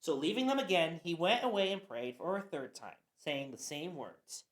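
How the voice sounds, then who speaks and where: Woman narrating scripture, lively up and down voice, echoey room. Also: lowish voice, moderate pace. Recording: roomy echo.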